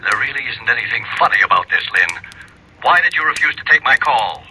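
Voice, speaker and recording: A man's voice: medium pitch, animated, a little fast, sharp tone, low-quality recording. An actor playing a stern, anxious senator and overbearing father in a 1970s radio drama, insistent and commanding. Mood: stern, irritated. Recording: hissy, thin old radio recording.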